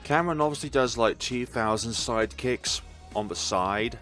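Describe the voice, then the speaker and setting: A man giving casual, chatty let's-play commentary, with reflective, halting asides as he reads through a game. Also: medium pitch, fairly bright tone. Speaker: video game playthrough narrator. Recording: background game music. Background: music from the visual novel.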